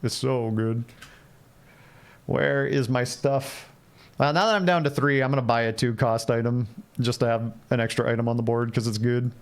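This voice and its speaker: A man's voice: mid-range voice, steady tone, normal speed. A man giving casual, playful live commentary on a game, thinking aloud and repeating phrases as he chats with viewers. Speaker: game livestreamer.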